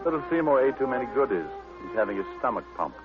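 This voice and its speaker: A man's voice: mid-range voice, sing-song, quick pace, natural voice. An American man playing a wisecracking, unflappable private detective with dry, sardonic delivery in an old-time radio drama. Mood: breezy, teasing. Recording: muffled, band-limited old radio recording with music.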